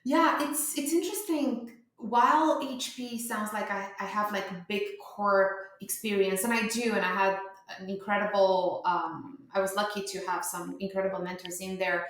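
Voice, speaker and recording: A woman's voice: medium pitch, steady tone, moderate pace, fairly bright tone. A woman from Romania in her thirties, a startup founder answering interview questions in a chatty way with many fillers and restarts. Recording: very echoey room.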